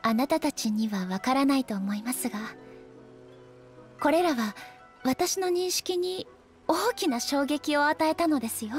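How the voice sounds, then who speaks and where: A woman voicing a dignified, introspective female deity ruler in a game, speaking in a calm, formal and measured way. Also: high-pitched, soft background music. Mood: pensive. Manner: formal, measured, polite speech. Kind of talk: Japanese game dub acting.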